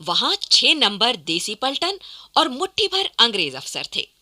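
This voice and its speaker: A woman serves as narrator of a historical radio play. Her voice is high and very bright, with lively swings in pitch as she delivers the scripted account dramatically. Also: unhurried.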